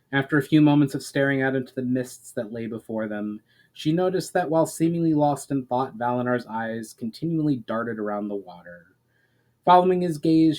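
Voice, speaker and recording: A man reading his own fantasy story as a dramatic narration, deliberate in delivery, drawing out the ends of phrases. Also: mid-range voice, darkish tone.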